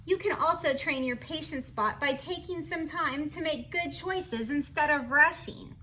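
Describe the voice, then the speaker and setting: High, bright woman reading to children. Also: unhurried, slight background noise. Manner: teacherly, encouraging, expressive, asks the listener questions. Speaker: storybook reader for children. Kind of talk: children's read-aloud with chatty asides.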